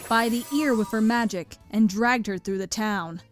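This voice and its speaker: Higher-pitched woman narrating a story. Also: normal speed, soft background music. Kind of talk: scripted story narration of fan fiction.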